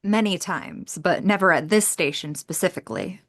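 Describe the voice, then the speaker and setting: A woman's voice: on the low side, expressive, normal speed. Dry, composed lady investigator played by a woman. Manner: dry, understated.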